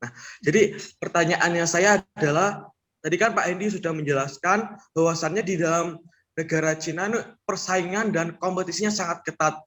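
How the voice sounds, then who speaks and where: A man's voice: on the high side, moderate pace, fairly bright tone. Hesitant young man asking a question. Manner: hesitant, with restarts and fillers. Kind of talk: webinar question.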